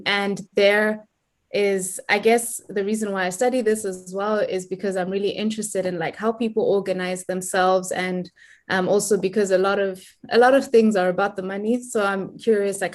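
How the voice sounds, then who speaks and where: A young woman from Zimbabwe speaking informally as a guest in an online discussion, halting as she thinks aloud. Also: mid-range voice. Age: young adult. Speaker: guest, community organizer.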